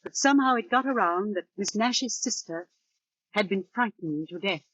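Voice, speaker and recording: Gossiping female housekeeper, resigned, hesitant, insinuating, medium pitch, muffled 1940s radio drama. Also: animated, moderate pace, fairly bright tone, no room sound. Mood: coy, resigned. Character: resigned gossiping school housekeeper, feigning reluctance. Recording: dull restored 1940s radio recording.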